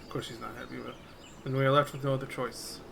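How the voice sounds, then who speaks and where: A man's voice: mid-range voice, little pitch movement, quick pace, creaky, background noise. A man giving casual commentary as a game streamer while he plays. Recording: game music behind the voice.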